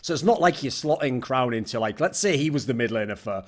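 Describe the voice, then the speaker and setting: Man, rapid delivery, blunt opinionated rant, podcast guest commentator. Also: mid-range voice, expressive. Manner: blunt, ranting, swears casually.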